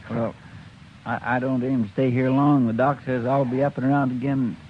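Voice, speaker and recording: An actor playing a loyal, bashful frontier deputy in a 1950s radio drama, stammering and deferential. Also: male voice, mid-range voice, flat delivery, normal speed, a little hiss or hum, natural voice. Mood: earnest. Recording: dull vintage 1950s radio recording.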